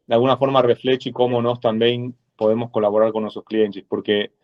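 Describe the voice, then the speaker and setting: A man from Argentina with an Argentine Spanish accent, a lawyer speaking as a guest in a webinar, measured and full of hesitant fillers. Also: mid-range voice, flat delivery. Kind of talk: webinar presentation. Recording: dull lo-fi webinar audio.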